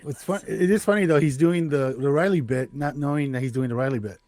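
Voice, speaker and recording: A man's voice: a little high, quick pace, crowd noise. A man co-hosting a casual talk show, making mocking, dismissive and sarcastic remarks.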